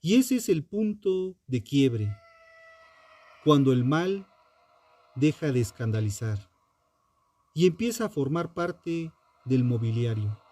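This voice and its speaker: A man with a mellow, relaxed tone narrates true crime from a script. His delivery is slow and solemn, built on short, dramatic phrases with pauses for emphasis. The pitch sits a little high for a man and moves expressively.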